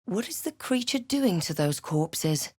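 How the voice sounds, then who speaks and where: A woman playing a wary, snarky fantasy adventurer in a video game, speaking and narrating in a measured, wry, dramatic way. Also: medium pitch, big pitch swings, a little mellow, breathy edge, no echo, clean studio sound.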